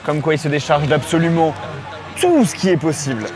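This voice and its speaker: A man's voice: a little high, expressive, a little fast. A young man from the Paris region chatting casually and slangily with friends outdoors. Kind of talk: casual chat between friends. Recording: outdoors, music mixed behind.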